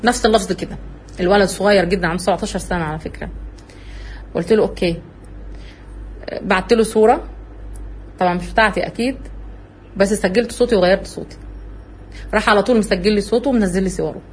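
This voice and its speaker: A woman with an Egyptian Arabic accent giving an earnest religious talk, telling her own story in an animated way. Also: medium pitch, fast talker, long pauses, a little hiss or hum. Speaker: religious speaker.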